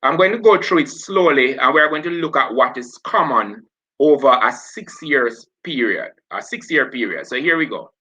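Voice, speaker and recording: Bright-voiced Jamaican man teaching live. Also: mid-range voice, expressive, normal speed, slightly rough, low-quality recording. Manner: talks while working through papers, self-correcting. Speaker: teacher hosting a live revision stream. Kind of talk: live online exam revision.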